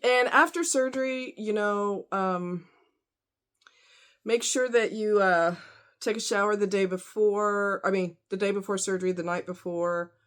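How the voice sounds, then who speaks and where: A woman's voice: mid-range voice, unhurried, a bit bright. A woman vlogger chatting casually to her audience in a rambling, conversational way with frequent asides. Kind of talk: casual chat to camera.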